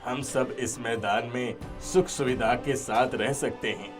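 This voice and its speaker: Guy playing kind old village dad in a cartoon story, slow earnest talk, music underneath. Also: medium pitch, lightly acted. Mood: assured. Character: kindly aggrieved old village father, generous and firm. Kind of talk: Hindi moral-story cartoon acting. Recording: music behind the voice.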